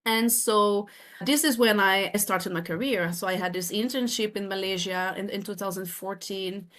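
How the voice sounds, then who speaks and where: A woman's voice: mid-range voice, normal speed, a bit bright. A woman from France with a French accent, in her thirties, earnestly explaining her work as a podcast guest, often restarting her phrases. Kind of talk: podcast interview.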